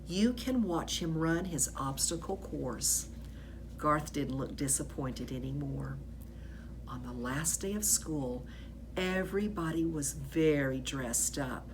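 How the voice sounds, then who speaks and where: A woman reading a children's book aloud as expressive narration, with animated delivery and drawn-out emphasis on key words. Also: deep voice, background noise.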